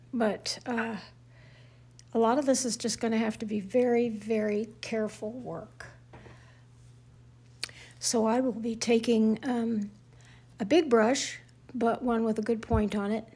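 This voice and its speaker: An older woman with a raspy voice, calmly talking through a watercolor demonstration, sometimes dropping to a near whisper. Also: a little high. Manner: soft-spoken, careful, drifting into near-whisper while working. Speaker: watercolor painting teacher. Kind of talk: calm painting demonstration.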